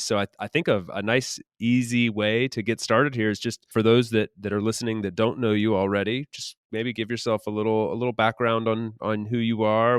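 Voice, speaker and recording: A man hosts a conversational interview podcast. His voice sits fairly low and is smooth and clean. The sound is dry and close, with very little room in it. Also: no room sound. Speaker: podcast host.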